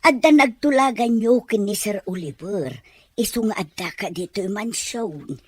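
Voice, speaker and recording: A woman's voice: mid-range voice, a little slow, breathy edge. An actress playing an older female household servant in a radio drama, kindly, teasing and given to grumbling. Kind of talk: Filipino radio drama acting.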